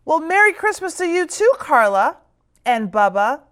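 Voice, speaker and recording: A woman's voice: sits high, sing-song, moderate pace, fairly bright tone, voice pushed up. A woman voicing a kindly old grandmother in a Christmas storybook, affectionate and comforting. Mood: welcoming.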